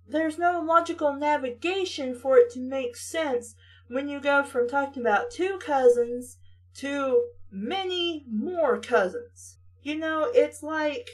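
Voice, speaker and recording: A woman reading a story aloud and riffing on it casually, with a blunt, sarcastic, sweary manner. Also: high-pitched. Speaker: host reading a book aloud with critical commentary.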